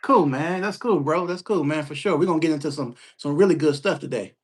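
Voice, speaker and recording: A man hosting a podcast, casually interviewing a guest with slangy, easygoing talk and frequent shout-outs. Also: on the high side, a little fast, husky. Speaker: podcast host.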